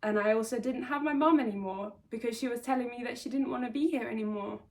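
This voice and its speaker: A woman from Sweden telling her own life story to camera, earnest and reflective, with halting restarts. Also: on the high side. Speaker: vlogger. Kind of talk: personal confessional storytelling. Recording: voice slightly distant from the mic.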